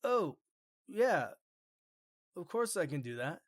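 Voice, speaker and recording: A woman's voice: fairly low pitch, big pitch swings, slow, husky, full character voice. A woman playing an eager, grateful pony patient in a scripted audio drama.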